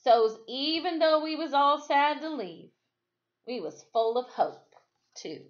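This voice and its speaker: A woman's voice: high-pitched, lively intonation, slow, muffled recording, voice pushed up. A woman voicing a plainspoken, formerly enslaved storybook narrator who recalls the past in a folksy, emphatic, reflective way. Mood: proud, hopeful.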